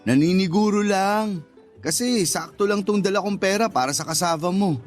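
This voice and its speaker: Radio drama: actor as tactless but earnest young man courting, high-pitched, careful, apologetic, light music behind. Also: moderate pace, close to an everyday voice. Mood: shy, teasing. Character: earnest, tactless young male suitor, timid customer. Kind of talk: Tagalog radio drama acting.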